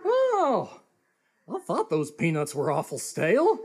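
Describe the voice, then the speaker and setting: A woman playing a tipsy, confused old man in a live comic radio play, slow-witted and rambling. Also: lowish voice, wildly swooping pitch, darkish tone. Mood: befuddled. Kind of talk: live comedic radio theatre acting.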